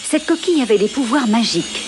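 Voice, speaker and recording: A woman's voice: fairly high pitch, animated, fast talker, lightly acted. A woman narrating a cartoon like a storybook, in a scripted dramatic manner, with music behind her.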